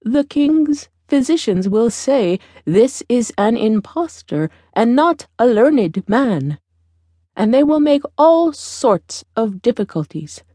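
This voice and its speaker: A woman plays a ragged old beggar woman from a fairy tale, wise and deliberate. The voice is raised in pitch and slow, imploring and instructing as it goes, with a dry, close sound. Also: sing-song, dark tone, no echo, full character voice. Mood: commanding, instructive.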